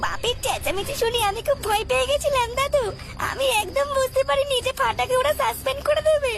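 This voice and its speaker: A woman's voice: extremely high pitch, brisk, creaky, music in the background. A woman voicing an innocent little schoolgirl in a cartoon, talking simply and earnestly. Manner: simple, earnest childlike talk. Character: innocent, frightened little schoolgirl, sweet and truthful.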